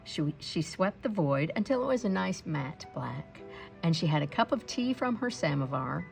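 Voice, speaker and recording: A woman reading a picture book aloud to children in an expressive storytelling style. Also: lowish voice, moderate pace, creaky, faint music behind. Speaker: storybook read-aloud reader.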